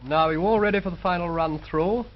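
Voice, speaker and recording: A man's voice: high-pitched, fast, slight background noise. A man, a radio drama producer, giving studio instructions in a 1930s film. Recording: dull lo-fi 1930s film soundtrack.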